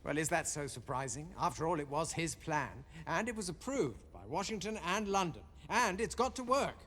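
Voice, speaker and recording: Level-headed junior officer, slightly high voice. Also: male voice, lively intonation, normal speed, a bit bright. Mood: reasoning. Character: level-headed, loyal junior army officer advising his colonel. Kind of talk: video game cutscene acting.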